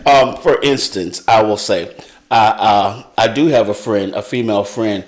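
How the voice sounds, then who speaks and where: Halting man in casual talk. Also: fairly low pitch. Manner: halting, searching for words. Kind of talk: casual live discussion.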